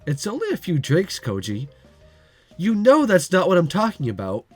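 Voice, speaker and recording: A man reading the lines of a polite, gently teasing young waitress in a visual novel. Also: high-pitched, wildly swooping pitch, normal speed, slightly rough, soft background music, heavily acted. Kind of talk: visual novel dialogue read aloud.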